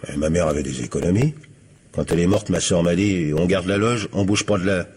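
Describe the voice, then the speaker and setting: A man's voice: low-pitched, a little fast, darkish tone, slightly rough. A man acting a defensive, jobless working-class young man in a French radio crime drama, speaking offhand slang with a French accent. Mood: earnest, casual.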